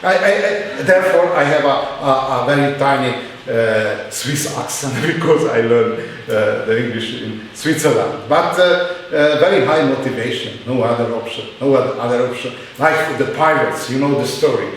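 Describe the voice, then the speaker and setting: A man lecturing in halting English with a Hungarian accent, with many fillers and restarts. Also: mid-range voice, a little hiss or hum. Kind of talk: university lecture. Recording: very echoey hall, mic far off.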